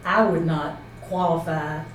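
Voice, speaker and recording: A woman's voice: low voice, steady tone, a little slow. A woman presenting an informal instructional talk, explaining step by step and checking points with a colleague. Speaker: seminar presenter. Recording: roomy echo, distant mic, faint noise.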